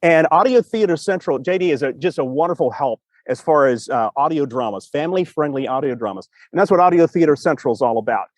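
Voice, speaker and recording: High, very lively man chatting enthusiastically. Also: fast talker, few pauses, dry recording. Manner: rambling, repeats phrases, enthusiastic. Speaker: podcast co-host and storytelling teacher. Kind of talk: casual chat. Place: outdoors in a cemetery.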